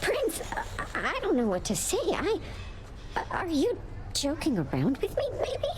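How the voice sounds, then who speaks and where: A woman voicing a timid, eager-to-please little underworld creature in a video game, with a nervous, halting, self-doubting stammer. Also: high-pitched, wildly swooping pitch, heavily acted. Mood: flustered, surprised. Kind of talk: video game character acting.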